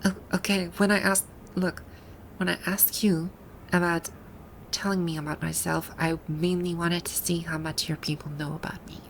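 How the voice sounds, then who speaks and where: A woman's voice: on the low side, level tone, moderate pace, a little hiss or hum, lightly acted, rough recording. A woman playing a haughty, easily flustered female final-boss villain from a video game in an ASMR roleplay, with an imperious, indignant manner. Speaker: voice actor.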